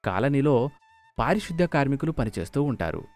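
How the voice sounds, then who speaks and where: Lively male story narrator over music. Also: mid-range voice, a little fast. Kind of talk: scripted moral story narration with acted dialogue. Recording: music behind the voice.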